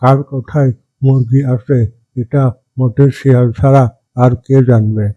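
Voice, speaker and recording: A distrustful villager acted by a man presses his accusations in a very dark, dry voice. The cartoon delivery stays even in pitch and insistent. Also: unhurried, no room sound, muffled recording, natural voice. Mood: suspicious. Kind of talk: cartoon character acting.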